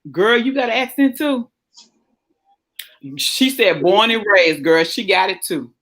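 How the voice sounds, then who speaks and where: Host, woman, Southern US accent, animated and teasing, mid-pitched. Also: unhurried. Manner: animated, teasing, calls everyone "girl". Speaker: host of a live chat show. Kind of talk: casual chat.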